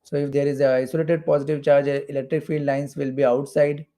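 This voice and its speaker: A male physics instructor gives a step-by-step lecture. His voice is creaky and somewhat mellow, and he often restates a phrase to drive it home. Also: a little high, level tone, fairly quick. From India. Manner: repeats phrases for emphasis. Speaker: physics teacher for exam preparation. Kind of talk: explanatory lecture while drawing diagrams.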